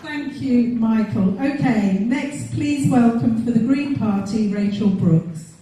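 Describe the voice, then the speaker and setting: Echoey, slow, higher-pitched woman announcing speakers. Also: dark tone. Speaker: hustings chair introducing candidates. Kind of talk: event announcements. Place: large community hall with audience. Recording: echoey hall, distant, audience, faint noise.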